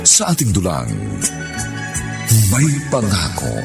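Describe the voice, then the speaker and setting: A man's voice: low voice, wildly swooping pitch, takes it slowly. A man announcing a Filipino radio drama in a dramatic scripted style. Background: loud music.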